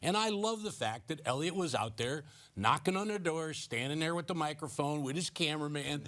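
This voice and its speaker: An older man talks as a journalist on a panel. His voice is slightly high for a man, and his style is conversational, like a working reporter giving his view. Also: brisk, fairly bright tone.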